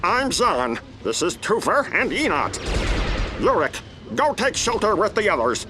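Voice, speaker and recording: A man's voice: high-pitched, sing-song, a little slow, background noise. A man voicing an earnest, fatherly alien village chief in a video game. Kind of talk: video game cutscene acting.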